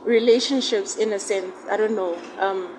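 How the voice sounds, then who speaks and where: A woman's voice: mid-range voice, fairly even intonation, normal speed. A woman speaking frankly in a casual podcast chat, with many "you know" fillers. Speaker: podcast guest. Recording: noisy background.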